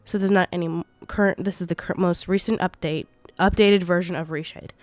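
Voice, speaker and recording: A woman's voice: lowish voice, fairly even intonation, fairly quick, darkish tone, no room sound. Congested young woman, chatty tutorial. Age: 20s. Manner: chatty, rambling, self-correcting. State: congested from a cold. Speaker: gaming YouTuber. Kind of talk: casual screen-recorded tutorial.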